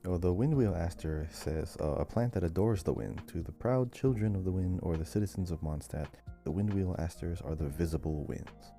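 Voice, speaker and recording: A man co-hosting a casual gaming quiz podcast, reading questions out in a measured, deliberate way. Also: low voice, mellow, faint music behind. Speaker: podcast co-host and quiz reader. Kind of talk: casual video game lore quiz podcast.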